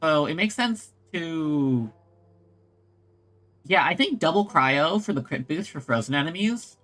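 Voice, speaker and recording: High-pitched, lively male game streamer. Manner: halting, thinking aloud. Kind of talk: casual chat while playing a game. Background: soft game music.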